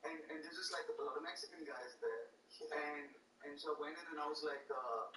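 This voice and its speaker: A man's voice: on the high side, a little fast, roomy sound, distant mic, slight background noise, rough recording. A man from India with an Indian accent, an actor and comedian guest telling playful stories in casual podcast chat. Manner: animated, playful storytelling.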